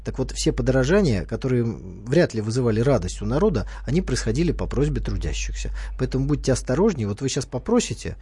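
A man's voice: medium pitch, very animated, fast talker, hardly any pauses, dark tone, breathy edge, dry recording. A man commenting on a talk radio show, arguing his points with irony and formal politeness. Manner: ironic, argumentative, formal address. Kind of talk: studio talk radio discussion. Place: radio studio.

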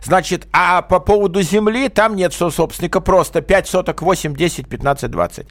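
Man, radio legal expert, moderately high pitch, blunt, emphatic, conversational advice. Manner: blunt, brisk, emphatic short replies. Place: radio studio. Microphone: studio microphone.

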